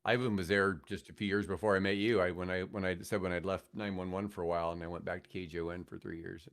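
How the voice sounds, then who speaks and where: A man's voice: low voice, flat delivery, quick pace, fairly bright tone. A man in his sixties with an American accent, chatting casually and telling stories as a podcast guest, with a wry, self-mocking, joking manner. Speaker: podcast guest, veteran radio host and guitarist. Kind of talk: casual storytelling chat.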